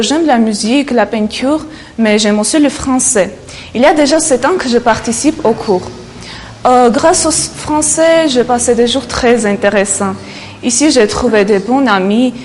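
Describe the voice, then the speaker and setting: Seventeen-year-old girl, calm French. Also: a little high, slight background noise. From Armenia. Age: teens. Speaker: university student.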